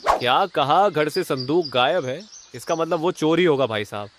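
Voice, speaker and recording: A man's voice: a little high, animated, moderate pace, lightly acted. A man playing a concerned, nosy cartoon neighbour, emphatic and inquisitive, with noise on the recording. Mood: excited, certain. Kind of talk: Hindi cartoon story voice acting.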